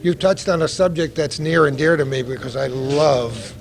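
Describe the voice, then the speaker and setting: An older man with a somewhat high voice hosts a local cable interview. He is chatty and folksy and steers his guests with leading questions. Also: moderate pace, a crowd in the background. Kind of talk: on-location interview.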